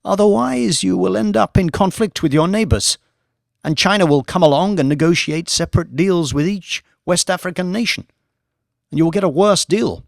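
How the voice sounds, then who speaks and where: A man voicing a smooth, insistent political negotiator with an earnest, pressing manner in an audiobook. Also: high-pitched, lively intonation, normal speed, no room sound, studio quality, pitched above the performer's own voice. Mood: reasoning, warning.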